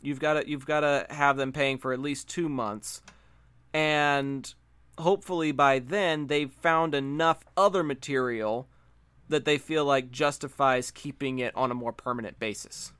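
A man's voice: medium pitch, steady tone, unhurried, fairly bright tone, smooth, no echo. A man co-hosting a podcast, talking casually about pop culture and repeating words for emphasis. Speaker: podcast co-host.

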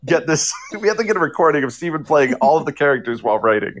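A man's voice: on the high side, very animated, fast, a little hiss or hum. A man chatting casually as a panelist, with a playful, teasing way of talking. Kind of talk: casual chat.

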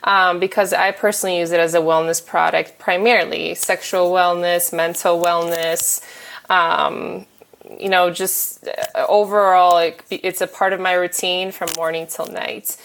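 A woman chatting frankly and casually as a guest, pausing often with fillers and restarts. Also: lowish voice, little pitch movement, creaky, fairly bright tone. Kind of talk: casual chat.

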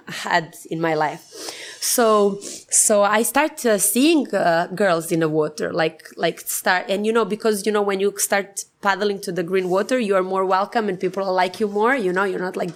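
Rambling woman. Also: mid-range voice. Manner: rambling with many fillers and restarts. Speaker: film producer. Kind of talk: casual interview.

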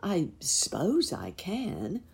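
Woman voicing an obliging husky muskrat lady. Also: low-pitched, big pitch swings, a little slow, exaggerated voice. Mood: grudging. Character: obliging female muskrat housekeeper, Nurse Jane. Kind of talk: children's storybook character voice.

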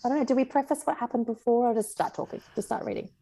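A woman's voice: on the high side, very fast, mellow, dry recording, rough recording. A woman with an Australian accent, an author talking casually and reading fiction aloud as a guest.

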